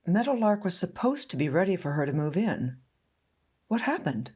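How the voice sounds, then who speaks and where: A woman's voice: fairly low pitch, very animated, normal speed, a little mellow, breathy edge, dry recording. A woman acting in a radio play as an exasperated, blunt wife and mother with a curt, pointed manner. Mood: annoyed.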